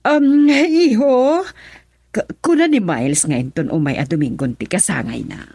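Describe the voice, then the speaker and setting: A woman's voice: mid-range voice, a little slow, darkish tone, breathy edge, no room sound. A woman with an Ilocano Filipino accent playing an insistent, meddling middle-aged mother in a theatrical, coaxing radio drama style.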